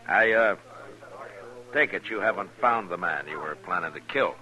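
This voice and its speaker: An actor playing a steady, watchful marshal in a 1950s radio western, speaking in a dry, laconic way. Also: male voice, deep voice, normal speed, soft background music, heavily acted. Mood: calm, dry. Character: steady, watchful frontier town marshal, grown man. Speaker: lead actor. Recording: noisy old radio western recording.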